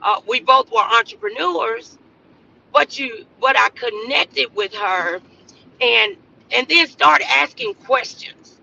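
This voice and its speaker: Slow-paced man, gravelly edge, preacher-like motivational host, exhorting, repetitive emphasis, rhetorical questions, slightly muffled lo-fi sound. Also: very high voice, lively intonation, pushed and bright, slight background noise.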